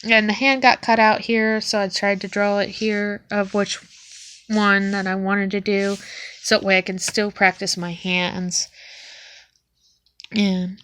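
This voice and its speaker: A woman's voice: medium pitch, flat delivery, measured pace, a bit bright. A young woman with a sniffly cold, showing her sketchbook in a hesitant, casual way with frequent ums. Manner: hesitant, with many ums and restarts.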